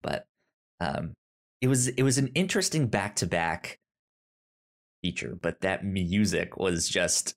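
A man with a stammer talking casually and haltingly as a podcast co-host discussing movies. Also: deep voice, animated. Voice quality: stammer, repeating sounds and words. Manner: halting, rambling. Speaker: podcast co-host reviewing films.

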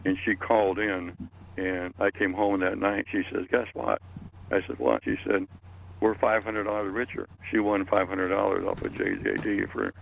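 Very deep, gravelly elderly man. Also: level tone, moderate pace, muffled recording. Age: 80s. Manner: rambling, repeats words. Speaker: panelist. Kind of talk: casual reminiscing chat. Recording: faint background noise.

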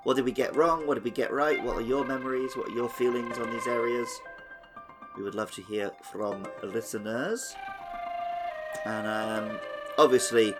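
A man's voice: mid-range voice, moderate pace, over loud music. A man co-hosting a podcast, chatting casually about a video game. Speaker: podcast co-host.

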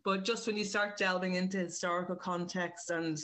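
A woman's voice: fairly low pitch, fairly even intonation, a little fast, fairly bright tone. An Irish woman chatting casually as an interview guest, informal and rephrasing as she goes. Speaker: writer and director, interview guest.

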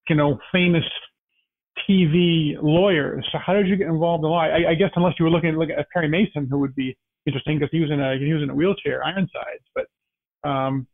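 A man's voice: on the high side, fairly even intonation, a little fast. A man interviewing a guest, asking long, rambling, searching questions. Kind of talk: casual interview. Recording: phone-like narrow band.

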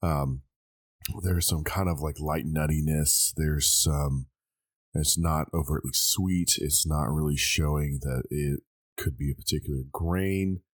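Congested man, extremely low pitch, dry close sound, casual taster who ums a lot. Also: steady tone, a little slow, no echo. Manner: thinking aloud with many ums. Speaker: host tasting liquor blind. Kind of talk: casual tasting chat.

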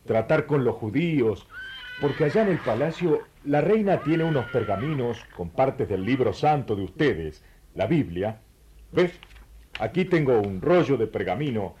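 A man's voice: medium pitch, darkish tone, slight background noise, close to an everyday voice. A man playing a courteous, inquisitive royal treasurer from Ethiopia in a radio play, speaking politely and invitingly.

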